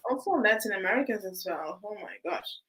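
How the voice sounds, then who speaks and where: A woman's voice: medium pitch, expressive, fairly quick, fairly bright tone, low-quality recording. A woman host, chatting casually on a video call, often laughing and restarting her words. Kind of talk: casual live chat.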